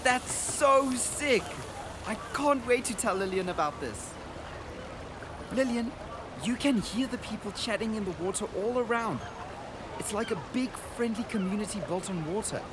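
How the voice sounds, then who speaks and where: Animated, high-voiced earnest boy traveler played by a man. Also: moderate pace, background noise. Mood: amazed, excited. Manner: earnest, descriptive, full of wonder. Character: earnest, wonder-struck boy traveler recording for his sister. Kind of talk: radio drama acting with narrated audio diary.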